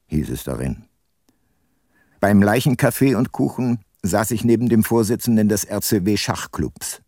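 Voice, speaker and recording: An actor playing a hard-nosed, cunning older private detective in a radio drama, terse and dry. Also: male voice, lowish voice, darkish tone. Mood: dry narration. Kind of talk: radio drama narration and dialogue.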